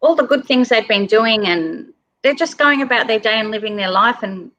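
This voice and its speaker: A woman's voice: a little high, lively intonation, normal speed, a bit bright, highs cut off. A woman speaking as a guest in a casual discussion, earnest and conversational. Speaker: guest reader.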